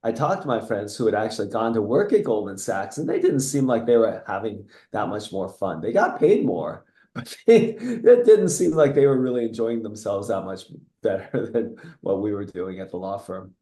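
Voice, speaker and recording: Guest, middle-aged US man, mellow, reflective and rambling, echoey room. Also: lowish voice, sing-song, moderate pace. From United States (Seattle; born in Taiwan). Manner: reflective, rambling with restarts and soft laughs. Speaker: guest, entrepreneur. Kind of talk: casual interview.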